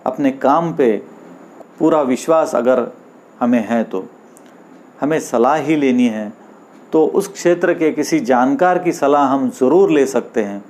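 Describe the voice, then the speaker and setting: Male storyteller for moral tales: medium pitch, unhurried, measured phrase-by-phrase read. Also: a little mellow. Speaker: storyteller and host. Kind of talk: scripted moral storytelling narration.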